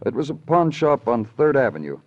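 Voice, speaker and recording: An actor playing a calm, probing, hard-boiled police detective in clipped, deadpan 1950s radio drama style. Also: male voice, medium pitch, a little fast, lightly acted. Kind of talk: 1950s radio crime drama acting and narration. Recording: dull, noisy old radio recording.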